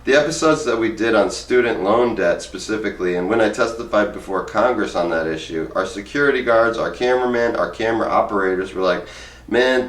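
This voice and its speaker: A man reading aloud and commenting casually, with an informal way of talking. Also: mid-range voice. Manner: informal, rambling, halting when speaking off the cuff. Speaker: host reading book excerpts aloud and commenting. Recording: roomy echo, distant from the mic.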